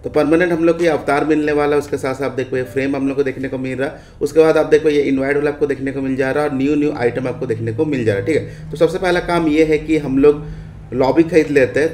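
An Indian man talks through a game update in a casual commentary style. His voice sits at a medium pitch and he leans on stock phrases. The room echoes, with faint noise behind him. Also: darkish tone. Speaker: gaming video host.

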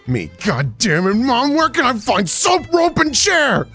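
A man's voice: extremely high pitch, wildly swooping pitch, a little slow, sharp tone, husky, faint music behind, heavily acted. A man playing a melodramatic, despairing would-be voice actor in a comedic skit. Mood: overwrought.

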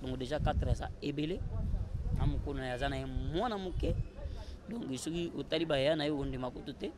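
Congolese male, 30s, interview guest, casual delivery, slightly high register, faint outdoor noise. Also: a little fast. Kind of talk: casual interview talk, switching languages. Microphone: handheld interview microphone. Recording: noisy outdoor handheld-mic recording.

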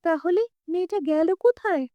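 A woman's voice: very high voice, brisk, warm tone, dry recording, dull audio. A woman playing a concerned, nagging village mother in a cartoon, coaxing and chiding. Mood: shocked, worried. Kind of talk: cartoon dialogue acting.

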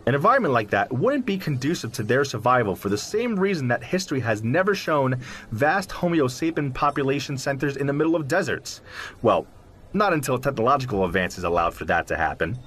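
A man's voice: medium pitch, very animated, a little fast, a bit bright, slight background noise. A man playing an articulate, self-assured zoologist explaining his field in an audio drama interview, in an emphatic, professorial manner. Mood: earnest, lecturing. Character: articulate, self-assured male zoologist who believes in Sasquatch. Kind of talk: audio drama interview, scripted but conversational.

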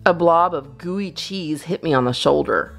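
A woman reading a children's storybook aloud as a storyteller, in an expressive, animated style. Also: low voice, moderate pace, creaky, faint music behind. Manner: expressive, acts out dialogue. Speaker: storyteller, channel host.

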